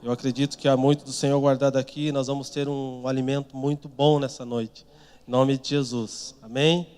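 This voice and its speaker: A man's voice: mid-range voice, flat delivery, moderate pace, darkish tone. Fervent praying man over church music. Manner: earnest, rising to fervent in prayer. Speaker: service leader introducing the preacher. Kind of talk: fervent congregational prayer. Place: church service. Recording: church music playing behind.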